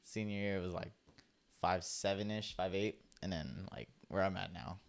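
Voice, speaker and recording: A young man chatting casually on a podcast, his voice low-pitched and smooth. He rambles through stories at an easy pace, filling the gaps with "like" and "um.". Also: moderate pace. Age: young adult. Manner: laid-back storytelling, heavy use of "like". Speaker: podcast co-host. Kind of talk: casual chat.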